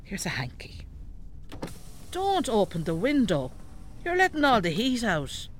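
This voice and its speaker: A woman playing an elderly, nagging, devout Irish mother in a radio drama, sharp-tongued and scolding. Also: on the high side, huge pitch swings, measured pace, a little hiss or hum. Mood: grumbling. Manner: scolding, bossy. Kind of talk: naturalistic radio drama acting.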